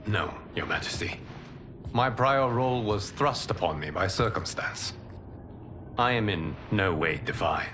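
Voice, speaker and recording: An actor playing a calm, wise former god turned monk-champion in a video game cutscene, speaking in a measured, solemn and respectful way. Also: male voice, low voice, background noise. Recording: loud orchestral score underneath.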